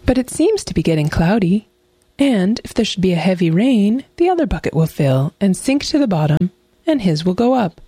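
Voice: mid-range voice, big pitch swings, normal speed, warm tone, breathy edge, no echo, pitched above the performer's own voice. A storybook narrator voicing a sly female fox, a sweet-talking and mocking trickster who coaxes and exclaims. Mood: smug. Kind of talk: animal fairy tale storytelling.

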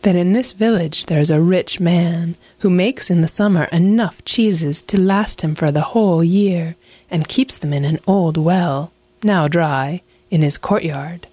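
A woman voicing a sly, polite mother fox who is thin and hungry, talking smoothly and flatteringly. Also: fairly low pitch, unhurried, no room sound.

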